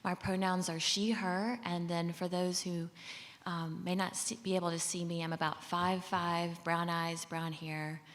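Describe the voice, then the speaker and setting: A woman from Mississippi with a Southern accent, a government official giving a relaxed, friendly conference talk with fillers. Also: fairly low pitch, measured pace. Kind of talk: conference presentation. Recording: long hall reverb.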